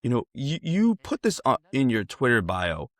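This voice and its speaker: A man's voice: mid-range voice, huge pitch swings, moderate pace, dry recording. An American man hosting a conversational interview, asking wordy questions that he rephrases as he goes. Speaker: interviewer, host.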